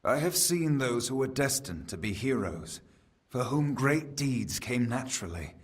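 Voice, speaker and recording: A man's voice: mid-range voice, expressive, a little slow, close to an everyday voice. An actor playing a solemn, ancient fae king in a fantasy game, speaking in a formal, portentous manner. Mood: grave counsel. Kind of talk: scripted fantasy game acting.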